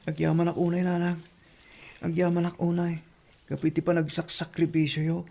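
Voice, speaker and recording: An actor playing a dutiful young student and elder brother in a radio drama, earnest and subdued, with a creaky, mellow voice. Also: mid-range voice, completely flat delivery, moderate pace. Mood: earnest gratitude. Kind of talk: radio drama acting in Ilocano.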